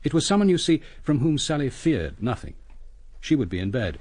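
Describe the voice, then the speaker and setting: An actor playing a coolly brilliant British police inspector in a detective radio drama, husky, measured and deliberate. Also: male voice, mid-range voice, big pitch swings, darkish tone. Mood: reflective, intent. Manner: measured, deliberate, theatrical reconstruction of events.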